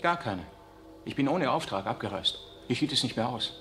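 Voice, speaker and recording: A man's voice: mid-range voice, lively intonation, moderate pace, pushed and bright. An anguished young priest pleads with fervor in a 1960s radio play. His delivery is declamatory and urgent. Faint music runs underneath. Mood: troubled. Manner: impassioned, declamatory. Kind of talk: 1960s radio drama acting.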